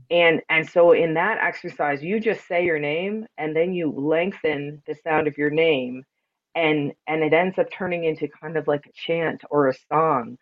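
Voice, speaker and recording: A woman with a deep, resonant, loud voice talking expressively and reflectively in a conversational interview, with earnest emphasis. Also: phone-quality sound. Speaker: podcast guest, spiritual sound and ceremony practitioner.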